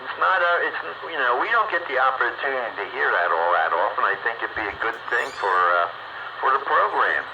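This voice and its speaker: A man's voice: mid-range voice, very animated, fairly quick. An American man chatting casually over CB radio as the host, with a folksy, coaxing way of talking. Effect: two-way radio transmission. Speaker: net host on a CB radio round-up. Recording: dull, noisy CB radio transmission. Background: loud radio static.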